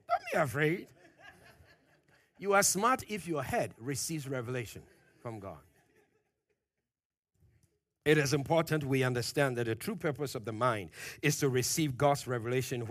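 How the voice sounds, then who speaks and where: A man preaching a sermon, pressing his points with questions to the congregation, loud at the peaks and dropping low and quiet at phrase ends. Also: dry recording, clean studio sound. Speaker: pastor.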